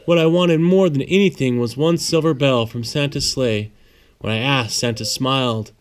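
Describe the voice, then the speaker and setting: A man's voice: medium pitch, clean tone. Man reading a bedtime storybook aloud. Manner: pauses to answer child's questions simply. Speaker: father reading to his child.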